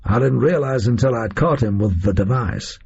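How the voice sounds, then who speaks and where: A man's voice: medium pitch, moderate pace, vocal fry, darkish tone, slightly rough. A man voicing a tough, sardonic gunman who drawls in casual slang. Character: tough American male gunman, blunt and sardonic. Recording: muffled lo-fi recording.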